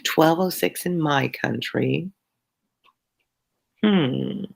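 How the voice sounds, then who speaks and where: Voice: mid-range voice, extremely slow, creaky. A middle-aged tutor from the United States reads a classic novel aloud, then chats playfully with live viewers. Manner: chatty and playful with live viewers, self-deprecating. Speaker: tutor hosting a live read-along.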